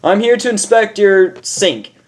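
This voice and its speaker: A woman's voice: mid-range voice, unhurried, voice pushed up, lightly acted. A woman voicing a cartoon pegasus mare who bluffs as a self-important inspector, pompous and emphatic. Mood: officious bluffing.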